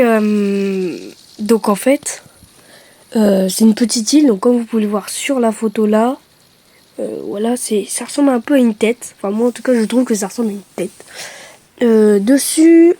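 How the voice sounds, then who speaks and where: A woman's voice: fairly high pitch, mellow, no echo. A young woman from France chatting casually to her camera, hesitant with many fillers and self-mocking asides. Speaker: vlogger.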